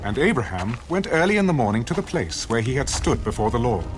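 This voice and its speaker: A male narrator reads scripture as solemn audio theater. His medium-pitched voice is expressive and widely inflected, telling the story with measured gravity over a clear musical score. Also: over music.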